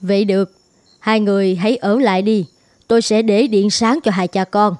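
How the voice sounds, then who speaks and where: Mellow middle-aged village woman voiced by an actress. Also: mid-range voice, steady tone, normal speed, dry recording, natural voice. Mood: reluctant. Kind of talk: animated film dubbing. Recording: music behind the voice.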